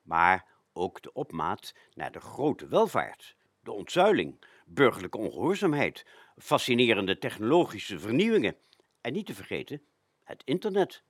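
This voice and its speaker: Low-voiced man with lively, swinging intonation. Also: moderate pace, husky, no echo, studio quality. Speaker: radio show presenter. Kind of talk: semi-scripted narration.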